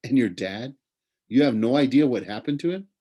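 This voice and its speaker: A man's voice: mid-range voice, normal speed, warm tone, husky, pitched above the performer's own voice, close to an everyday voice. A young boy hero from a storybook, read by a man. He talks casually and asks a lot of questions. He is curious, teasing and skeptical. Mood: curious, amazed.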